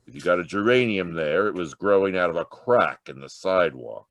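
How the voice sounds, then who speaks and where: A sardonic, hard-nosed police detective, acted by a man, with a deep voice that swings up and down. He needles gruffly in old radio noir fashion. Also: animated, a little fast. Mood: exasperated. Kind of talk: 1940s radio noir acting.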